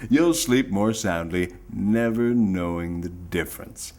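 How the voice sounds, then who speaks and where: A man's voice: low voice, takes it slowly, darkish tone. A man playing a slick, grandiose old-time radio sponsor announcer reading a theatrical parody ad. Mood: smooth salesmanship. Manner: theatrical, salesmanlike, mock-earnest.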